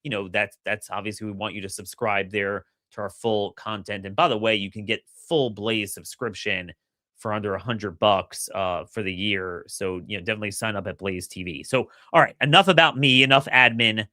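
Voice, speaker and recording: A middle-aged man hosting a solo political commentary show, slightly hoarse, energetic and opinionated, often repeating words as he starts a sentence. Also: fairly low pitch, normal speed, dry recording, clean studio sound. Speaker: podcast host.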